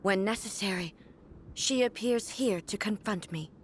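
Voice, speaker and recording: A woman playing a solemn, regal warrior deity in a video game, speaking in a grave, formal, measured manner. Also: medium pitch, a little hiss or hum, natural voice. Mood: grave, explaining. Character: solemn, regal female warrior deity and her stern puppet double. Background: game music.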